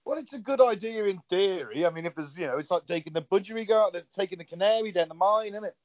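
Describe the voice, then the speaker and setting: A man's voice: high voice, lively intonation, fast talker, no room sound. A man with a slightly raised voice banters dryly with his co-hosts. The sound is dead dry and a little dull and lo-fi. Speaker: podcast co-host. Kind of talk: casual banter.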